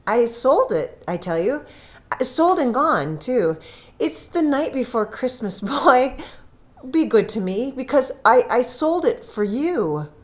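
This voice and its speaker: An eager young wife from a storybook, acted out by a woman, loving and excitable. Her voice sits high and swings widely as she pleads with breathless emphasis. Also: slow. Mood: pleading. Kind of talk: storybook character reading.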